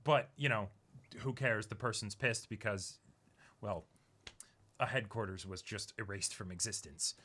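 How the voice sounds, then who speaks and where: Guy co-hosting a chat, bright forceful voice, explains stuff at length, very conversational. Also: fairly low pitch, unhurried, slight background noise. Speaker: co-host of an anime reaction discussion. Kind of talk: casual chat, discussion.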